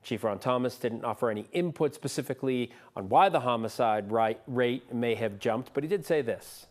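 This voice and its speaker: Man anchoring a news broadcast. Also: medium pitch. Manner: steady, measured delivery. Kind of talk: scripted news reading.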